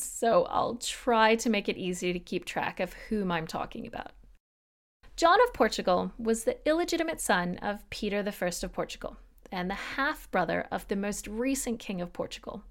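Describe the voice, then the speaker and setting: A woman with a mid-pitched voice presents a history podcast as its host. She reads scripted narration in a clear explanatory manner and drops in casual, lightly wry asides. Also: lively intonation, moderate pace, a bit bright.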